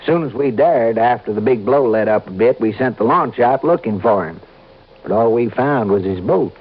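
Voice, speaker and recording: An actor playing an obliging, talkative fishing resort manager in an old American radio drama, explaining things in a folksy, hesitant way. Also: male voice, mid-range voice, animated, moderate pace, natural voice. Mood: sober recounting. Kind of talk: mid-century American radio drama acting. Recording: muffled, faintly noisy vintage radio recording.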